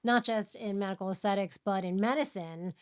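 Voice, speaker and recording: A woman's voice: medium pitch, brisk. A middle-aged woman hosting a podcast, chatting casually in long run-on sentences full of industry terms. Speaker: podcast host. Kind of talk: casual chat.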